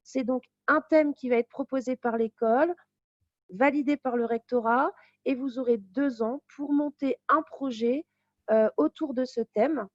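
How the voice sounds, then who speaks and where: Woman teacher walking thru a course, pretty high voice, informal, dry slightly muffled sound. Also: measured pace, no echo. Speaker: teacher presenting a real estate course. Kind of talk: informal explanatory presentation.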